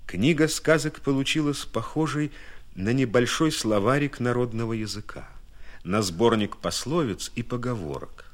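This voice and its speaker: A man narrating a scripted radio drama in a measured, literary way, with dramatic pauses. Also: medium pitch, animated.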